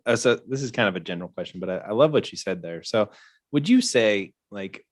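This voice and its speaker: A man's voice: on the low side, animated, a little fast, no echo, clean studio sound. A man hosting an interview show, asking curious, friendly questions and often affirming his guest. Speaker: podcast host.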